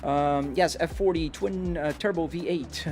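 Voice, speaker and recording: A man's voice: fairly high pitch, measured pace, a little mellow. A man from Brazil, a native Portuguese speaker, chatting casually on a livestream as he answers viewer questions from a driving simulator. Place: home office sim rig. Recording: music playing behind the voice. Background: music, car engine sounds from game.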